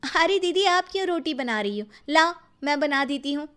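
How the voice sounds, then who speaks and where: Sweet young daughter-in-law for a cartoon tale, played by a female narrator: high, girlish, pleading, chatty. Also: expressive, normal speed, fairly bright tone. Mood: sweetly insisting. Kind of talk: animated moral story character voice.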